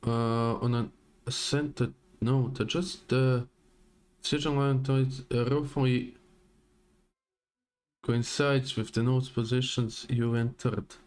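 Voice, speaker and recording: A man's voice: mid-range voice, fairly even intonation, takes it slowly, long pauses, a little mellow. A man streaming a video game live, giving casual, offhand commentary as he plays. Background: faint game music.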